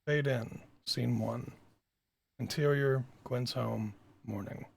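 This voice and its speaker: Gravelly, husky man reading a screenplay. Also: medium pitch, fairly even intonation, slow. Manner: self-deprecating, informal. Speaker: writer-director reading stage directions. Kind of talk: screenplay table read narration.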